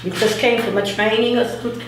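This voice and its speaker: Middle-aged woman, fairly high voice, casual radio host, conversational, set back from the microphone. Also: steady tone, quick pace, noisy background. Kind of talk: casual hosting chat. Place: echoey indoor room. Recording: very echoey room, distant mic.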